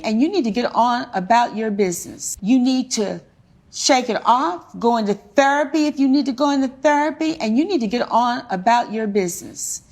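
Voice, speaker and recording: A woman with a fairly high voice presides as a courtroom judge. She questions and lectures firmly, leaning on key words as she hands down her view. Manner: stern, lecturing, emphatic.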